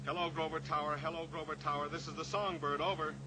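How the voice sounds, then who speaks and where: Actor as steely heroic pilot, high-ish male voice, noisy. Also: level tone, quick pace, lightly acted. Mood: urgent, businesslike. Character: steely heroic cowboy pilot, coolly pressuring a captive killer. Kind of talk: old-time radio drama acting. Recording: noisy old radio recording, engine drone.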